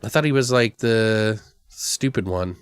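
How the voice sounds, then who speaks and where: A man's voice: lowish voice, measured pace, smooth, dry recording. A man co-hosting a podcast in casual comedic brainstorming, rambling and joking crudely. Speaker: podcast co-host.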